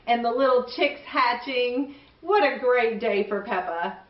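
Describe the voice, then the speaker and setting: A middle-aged woman hosting for young children, reading stories in an animated way and asking the listener questions. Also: on the high side, a little slow, roomy sound, distant mic, muffled recording. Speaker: children's show host and storyteller. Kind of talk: children's storybook reading and chat to young viewers.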